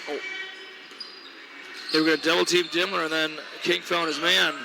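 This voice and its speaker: High gravelly-voiced man calling basketball. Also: normal speed. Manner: energetic, reads sponsor ads. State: voice weakening. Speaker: play-by-play announcer. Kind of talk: local sports commentary. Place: basketball gym. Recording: noisy gym broadcast. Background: gym ambience, bouncing balls.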